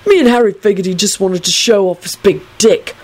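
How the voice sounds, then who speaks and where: A woman's voice: mid-range voice, fairly quick, darkish tone, lightly acted. A woman voicing a giddy, self-righteous, medicated teenage boy in an audiobook, rambling in a blithe, earnest way. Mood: confiding.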